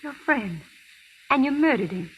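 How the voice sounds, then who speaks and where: An actress playing a principled, tender-hearted but firm frontier schoolmarm in 1930s radio drama style. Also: female voice, sits high, animated, a little slow, muffled recording. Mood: horrified, accusing. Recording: noisy, hissy 1930s radio recording.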